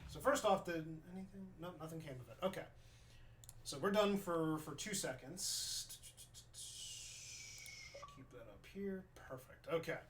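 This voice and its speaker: A man from New York giving casual, chatty commentary while reviewing gameplay footage, thinking out loud and restarting his sentences. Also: a little high, unhurried, pauses often, a little hiss or hum.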